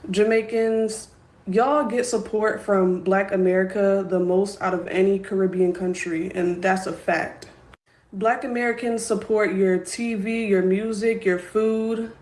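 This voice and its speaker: A woman's voice: medium pitch, steady tone, measured pace, a little mellow, roomy sound. A woman posting a firm, declarative rant to camera on social media.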